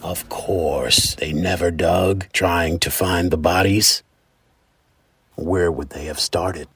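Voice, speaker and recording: An actor playing a resolute, defensive former soldier in an audio drama, a man speaking gravely and insistently. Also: deep voice, steady tone, slow pace, a bit bright, heavily acted.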